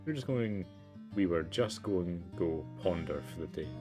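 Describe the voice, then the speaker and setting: A man's voice: low voice, lively intonation, unhurried, music in the background. Man playing a casual, evasive young boy.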